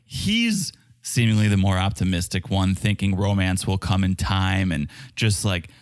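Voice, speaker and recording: A man whose first language is Czech, co-hosting a casual podcast, his pitch rising and falling in a lively way. He comes across as sarcastic and now and then mimics the people he talks about. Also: unhurried, no room sound, studio quality. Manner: sarcastic, quotes show participants with lively impressions. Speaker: podcast co-host. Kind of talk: casual chat reviewing a reality show.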